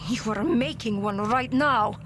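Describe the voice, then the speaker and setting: A woman's voice: fairly high pitch, unhurried, noisy background, natural voice. Woman playing a blunt, demanding arms dealer. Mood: demanding, irritated. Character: tough, indignant female arms dealer. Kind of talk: video game dialogue.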